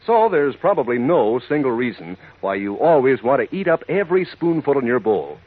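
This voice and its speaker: A man with a husky voice giving a chummy, folksy 1940s radio commercial pitch. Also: sing-song, normal speed. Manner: chummy, addresses listeners as pals. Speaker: commercial announcer. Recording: thin, phone-like 1940s radio recording.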